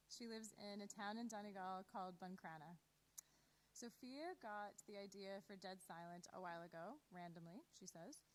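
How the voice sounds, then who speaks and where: Lowish woman announcer over audible hiss. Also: poor audio. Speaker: announcer introducing radio plays. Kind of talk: scripted introduction. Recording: clear background hiss.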